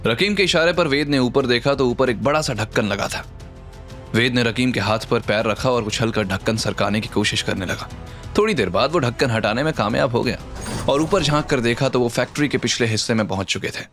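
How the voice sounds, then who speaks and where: Male narrator for a suspense audio series: dramatic storytelling, medium pitch, light music bed. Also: brisk, a bit bright. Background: background music.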